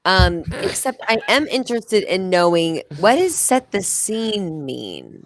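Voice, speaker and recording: A woman's voice: mid-range voice, big pitch swings, slow, no room sound. A woman panelist bantering casually on a comedy show, with a wry, reactive way of talking. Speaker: podcast panelist.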